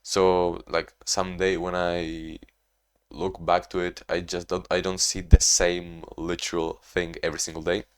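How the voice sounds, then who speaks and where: A young man talking casually in a video diary, rambling and restarting, in a monotone way. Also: sits low, a little slow, smooth. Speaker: vlogger.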